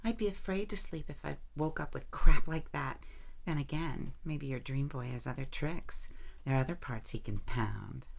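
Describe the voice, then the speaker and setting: A woman's voice: rumbling low, moderate pace, vocal fry, exaggerated voice. A woman playing a smart, sardonic college student in an audio drama, dry, blunt and teasing.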